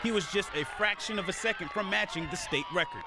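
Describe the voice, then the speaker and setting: A man reading a scripted countdown of local sports highlights as a TV sports anchor. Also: a crowd in the background, noisy background. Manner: brisk countdown delivery.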